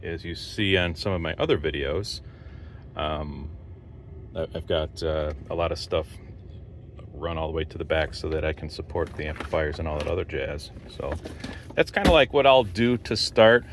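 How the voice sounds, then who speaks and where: Low-pitched man, rambling vlog, noisy background. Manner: rambling, halting, thinking aloud. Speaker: amateur radio operator making a vlog. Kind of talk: casual unscripted video walkthrough. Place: in and around a parked truck. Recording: steady background noise of car and outdoors.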